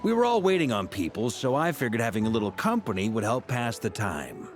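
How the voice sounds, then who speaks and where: A man's voice: medium pitch, big pitch swings, a little fast, soft background music, natural voice. A man playing an easygoing, sociable adventurer in an anime game, casual and affable. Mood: relaxed, friendly.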